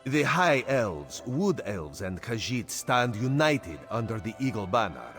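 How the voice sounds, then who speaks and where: A man's voice: mid-range voice, very animated, a little slow, fairly bright tone, faint music behind. Sly cat-man with swooping speech. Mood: wry, measured. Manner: speaks of himself in third person. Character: sly, humble male Khajiit cat-man agent, wryly loyal. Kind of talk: video game character dialogue.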